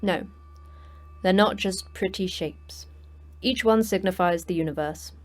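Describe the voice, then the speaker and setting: An actress playing the terse, dry manager of a secret prison for monsters in a sci-fi horror audio drama, speaking in a clipped, matter-of-fact way. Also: female voice, lowish voice, measured pace, lightly acted. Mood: dry, grim.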